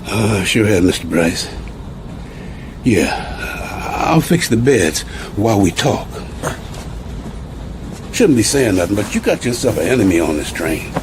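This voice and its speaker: An actor playing a deferential, wary sleeping-car attendant in a radio drama, with folksy speech. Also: male voice, big pitch swings, a little slow, pauses often. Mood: wary, warning. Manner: folksy, servile, colloquial speech. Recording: noisy radio drama, train rumble behind.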